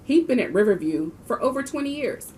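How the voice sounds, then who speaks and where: A woman's voice: on the high side, big pitch swings, a little fast, darkish tone, a little hiss or hum. A woman reading a children's book aloud expressively, with the occasional small stumble. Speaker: volunteer reader of a children's book. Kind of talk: storybook read-aloud.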